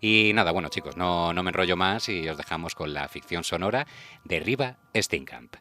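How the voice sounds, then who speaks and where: A man's voice: low-pitched, normal speed, bright, forceful tone, a little hiss or hum. A man with an accent from Spain, hosting a casual radio chat show. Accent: Spanish (Spain).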